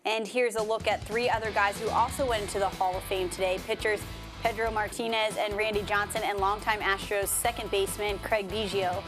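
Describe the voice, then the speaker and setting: A woman's voice: medium pitch, steady tone, fairly quick, over music. A woman reading scripted sports news as a broadcast anchor.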